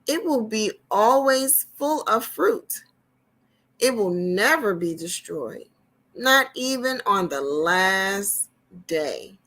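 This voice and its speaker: Lady reading as a proud rich guy bragging, kids storybook, slow and sure of himself. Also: mid-range voice, animated, a bit bright. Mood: boastful. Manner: boastful, overconfident. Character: proud, boastful rich man with a garden.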